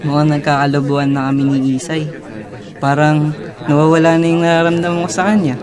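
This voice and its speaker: A man's voice: a little high, little pitch movement, fairly quick, a crowd in the background, natural voice. A young man acting an earnest, conflicted schoolboy who narrates his own story in an amateur radio drama, with a Filipino accent. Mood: troubled. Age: young adult.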